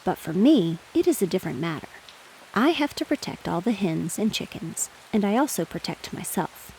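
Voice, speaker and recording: A conceited barnyard rooster from a bedtime story, acted out by a woman. The delivery is pompous and boastful, with very animated pitch. Steady hiss sits around the voice. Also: normal speed, low-quality recording. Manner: pompous, bragging.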